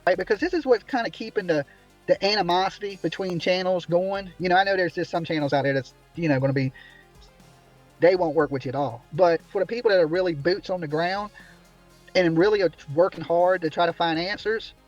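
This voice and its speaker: A man's voice: sits high, fairly quick, highs cut off, soft background music. A man ranting indignantly on a livestream from a small room, repeatedly asking "right?" as he complains. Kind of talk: heated livestream commentary.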